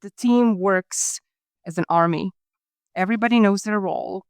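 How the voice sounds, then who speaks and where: Smooth-voiced Croatian-born woman guest. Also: mid-range voice, no room sound, clean studio sound. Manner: measured, businesslike explaining. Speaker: guest, talent acquisition leader. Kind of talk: podcast interview answers.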